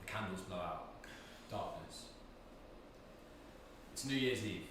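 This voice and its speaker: A man's voice: deep voice, expressive, takes it slowly. A man giving scripted narration, reading out stage directions for a play. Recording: very echoey, distant mic, noisy.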